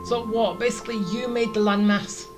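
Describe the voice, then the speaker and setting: A woman giving casual let's-play commentary, halting as she thinks aloud. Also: medium pitch. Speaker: gaming streamer reacting while playing.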